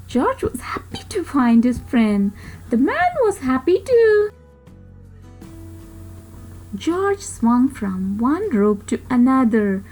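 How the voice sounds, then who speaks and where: A woman reading a children's story aloud in an expressive way, with dramatic pauses and emphasis. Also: fairly high pitch, poor audio.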